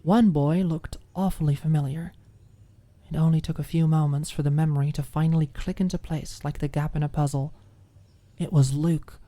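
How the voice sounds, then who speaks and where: A woman's voice: low-pitched, steady tone, moderate pace, mellow, no echo. A woman narrating a scripted story in an ASMR audiobook style.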